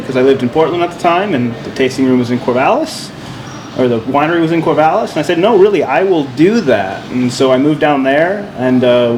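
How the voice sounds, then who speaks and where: A male winemaker co-hosting a podcast, telling his story in a relaxed, rambling way with many fillers. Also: fairly high pitch. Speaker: winemaker and co-host. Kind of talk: casual podcast chat. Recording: steady background noise.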